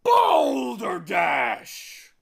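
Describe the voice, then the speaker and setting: A big blustering beetle dandy from a children's story. The voice booms with self-importance, raised high and swinging dramatically as it brags and scolds. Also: male voice, sing-song, a little slow, vocal fry, bright, forceful tone, heavily acted. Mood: outraged shouting. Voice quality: booming. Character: large, blustering humbug beetle, loud dandyish braggart. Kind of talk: theatrical children's storybook reading.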